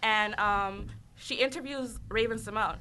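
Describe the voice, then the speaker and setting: A woman's voice: medium pitch, unhurried, sharp tone. A teenage girl from the United States, co-hosting a student radio show in a chatty way, laughing often. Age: teens. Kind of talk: casual chat.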